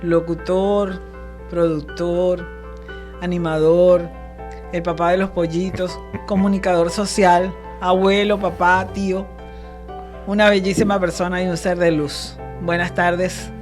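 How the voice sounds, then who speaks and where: A woman hosting a radio talk show, warmly introducing her guest and chatting with him, and laughing easily. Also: lowish voice, level tone, slow pace, faint music behind. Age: older. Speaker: radio host.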